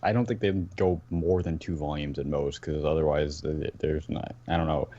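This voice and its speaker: A man chatting casually as a fan, haltingly rephrasing his thoughts as he goes. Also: a bass voice, steady tone, mellow, dull audio. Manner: halting, rephrasing mid-sentence. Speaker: podcast guest.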